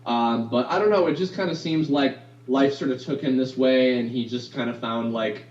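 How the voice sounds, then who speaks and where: A man who voices anime characters, talking in a casual interview. He rambles with many fillers and restarts and has a baritone voice. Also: moderate pace, darkish tone. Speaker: voice actor guest. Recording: distant, roomy, dull lo-fi sound.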